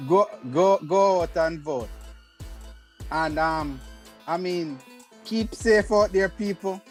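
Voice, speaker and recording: A man's voice: high voice, slow pace, faint music behind. A man on a casual panel chat giving earnest final thoughts, urging people to vote with small jokes.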